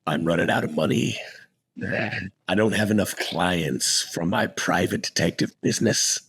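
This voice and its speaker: Hoarse, very low-voiced man telling stories. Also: normal speed, dry recording. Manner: animated storytelling, laughs often. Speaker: podcast co-host. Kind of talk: casual chat.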